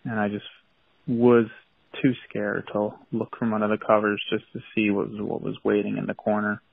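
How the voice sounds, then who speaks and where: A man with a gravelly, husky voice confesses on a thin phone voicemail. He talks hesitantly, often restarting, as an anonymous caller. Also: fairly low pitch, completely flat delivery, dry recording. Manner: hesitant, halting. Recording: tinny phone voicemail line.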